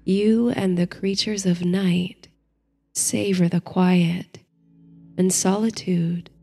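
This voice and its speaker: A woman narrating a bedtime story and guided meditation in a soothing, hushed, gentle manner. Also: lowish voice, steady tone, slow, breathy edge. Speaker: host and narrator of a sleep story series. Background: faint music.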